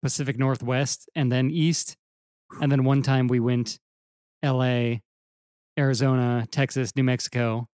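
A slightly hoarse man co-hosting a podcast, laid-back and rambling in casual chat. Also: mid-range voice, level tone, a little slow, a little mellow, dry recording, studio quality. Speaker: podcast co-host and musician.

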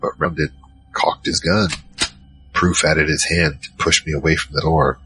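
An actor playing a giant troll, worried and soft-hearted, narrating in the first person in an audio drama. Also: male voice, extremely low pitch, steady tone, measured pace, heavily acted, low-quality recording. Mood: recounting, uneasy. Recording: music behind.

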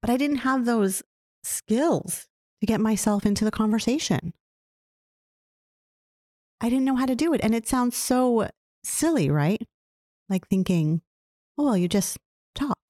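A woman talking earnestly and reflectively about her own experiences, often putting questions to the listener. She describes her own voice as low. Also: lively intonation, unhurried, long pauses, a little mellow, no room sound, studio quality. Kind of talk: reflective personal talk.

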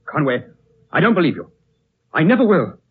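A man's voice: on the high side, moderate pace, husky, full character voice. An actor playing an agitated, lovestruck young Englishman, stubborn and stammering, in 1930s radio drama style. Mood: upset, pleading. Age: young adult. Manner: stammering, pleading, excitable. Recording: muffled, noisy 1930s radio broadcast.

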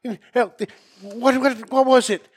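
A man's voice: extremely high pitch, fairly even intonation, unhurried, slightly rough. A man with a Boston accent hosting a show in a casual, enthusiastic conversational style. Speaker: show host.

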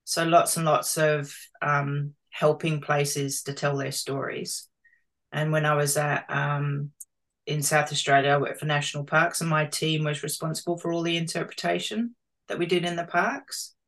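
A woman's voice: sits low, level tone, normal speed, fairly bright tone. A woman in her fifties from London with a British accent, answering questions in a casual conversational interview. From London, England. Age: 50s. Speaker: interviewee, interpretive planner and tourism marketer.

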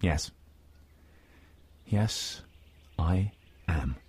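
A man's voice: sits low, a little hiss or hum, exaggerated voice. A man voicing a sycophantic, snobbish confidant who rambles pedantically in an improvised comic radio play. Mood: earnest flattery. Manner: flattering, halting, pedantic.

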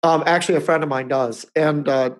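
A man's voice: on the high side, fairly even intonation, brisk. A man in his fifties, co-hosting a casual podcast, talking in a rambling way with many restarts. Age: 50s. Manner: rambling, restarts often, chuckles. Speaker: podcast co-host. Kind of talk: casual chat.

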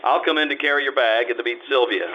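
An actor playing a wry, hard-boiled private detective in an old-time radio drama, with a casual manner. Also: male voice, mid-range voice, fast, close to an everyday voice. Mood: casual, sly. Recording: noisy, dull amateur stage radio-show recording.

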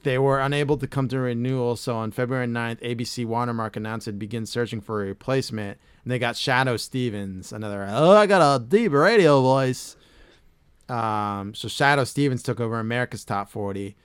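A man's voice: mid-range voice, steady tone, fairly quick, few pauses, clean tone, no echo. A middle-aged man hosting a casual podcast chat, reading facts aloud and swearing casually. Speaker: podcast host.

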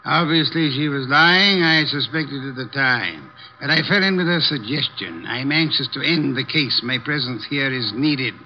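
A man's voice: medium pitch, animated, normal speed, slight background noise, close to an everyday voice. An actor playing a fussy, pompous male detective who refuses to leave his home, speaking imperiously in a 1950s radio drama. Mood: dry. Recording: dull old radio recording.

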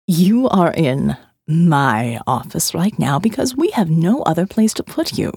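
A woman voicing a smooth, elegant male captor who calmly lectures his prisoner in an audiobook. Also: low-pitched, a little slow, dry recording, clean studio sound, voice pushed down, heavily acted. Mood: smoothly menacing. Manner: calm, smooth and menacing, lecturing. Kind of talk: audiobook character voice.

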